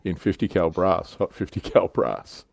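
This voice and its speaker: A man's voice: low voice, very animated, moderate pace, gravelly, a little mellow, no echo, clean studio sound. A man hosting a casual hobby livestream, telling stories in an explanatory way with some swearing. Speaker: livestream host.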